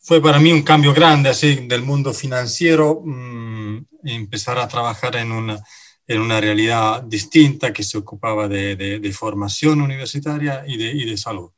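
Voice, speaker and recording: Italian-accented man, native Italian, interview guest, halting and full of fillers, mid-pitched voice. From Italy. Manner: hesitant, many fillers and restarts. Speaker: guest, finance director. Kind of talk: radio interview.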